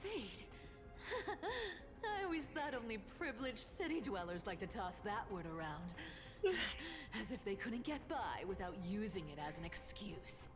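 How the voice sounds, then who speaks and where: A woman's voice: mid-range voice, very animated, unhurried. A woman voicing a cocky young female thief in a video game, a defiant trickster with a taunting, theatrical delivery. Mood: defiant, scornful. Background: game music.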